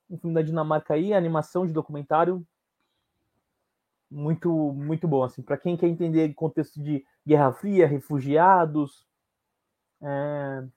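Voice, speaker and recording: A man with a Brazilian accent chatting casually on a podcast, informal and somewhat halting as he restarts his phrases. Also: on the high side, fairly even intonation, warm tone. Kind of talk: casual recommendation chat.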